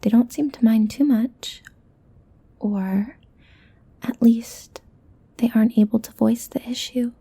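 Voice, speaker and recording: A woman's voice: on the high side, steady tone, slow, pauses often, dark tone, slightly rough, full character voice. A woman playing a possessive, teasing succubus demon in an ASMR roleplay, often dropping into a whisper. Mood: playful, sly.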